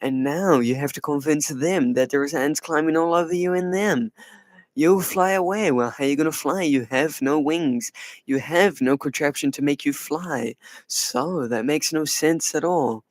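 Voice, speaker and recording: A man's voice: a little high, moderate pace, no room sound. Playful man with a light, dry voice. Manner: playful, teasing, answers chat; soft, light put-on voice. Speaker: livestream host. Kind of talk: casual live chat with viewers.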